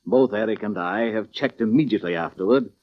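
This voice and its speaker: An actor playing a slick, theatrical, quick-tempered male musician in 1940s radio style, suave and mannered. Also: lowish voice, close to an everyday voice. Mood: guarded, polite. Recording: muffled vintage radio recording.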